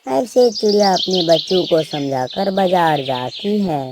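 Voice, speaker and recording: A woman's voice: fairly low pitch, animated, measured pace, noisy background. A woman narrating a scripted story for children.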